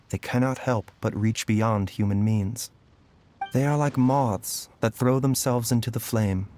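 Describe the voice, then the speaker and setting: An actor playing a gentle young doctor in a game, speaking in a calm, measured, reflective way. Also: male voice, medium pitch, a little hiss or hum, close to an everyday voice.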